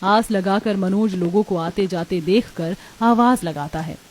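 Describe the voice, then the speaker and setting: A woman's voice: medium pitch, a little slow. A woman narrating a scripted cartoon story as its storyteller.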